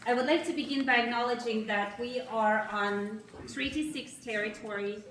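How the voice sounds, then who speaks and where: A woman with a Russian accent gives formal opening remarks, her voice a bit higher than most. She speaks in measured, emphatic phrases with deliberate pauses. The sound comes from a distance through a reverberant hall. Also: a bit bright, a little hiss or hum. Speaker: institute president giving opening remarks. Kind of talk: formal speech at a reception. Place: large reception hall with an audience. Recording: echoey hall, voice heard from afar.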